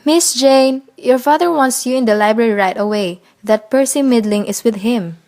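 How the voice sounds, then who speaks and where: A woman's voice: on the high side, normal speed, lightly acted. An actress playing a devoted, blunt, grudging household servant woman in a radio play. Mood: brisk. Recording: noisy radio play recording.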